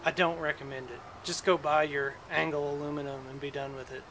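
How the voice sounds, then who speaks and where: A man in his thirties casually explaining his camper renovation while he works, with a relaxed, filler-heavy way of talking. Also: on the high side, fairly quick, noisy background. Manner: relaxed, chatty, many "uh" fillers. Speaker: DIY camper renovation vlogger. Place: backyard outdoors. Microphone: handheld camera close up.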